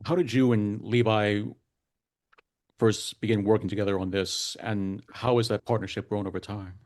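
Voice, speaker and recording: A man with a deep-pitched voice hosts an interview podcast. He delivers prepared questions in formal, carefully measured wording. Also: steady tone, darkish tone.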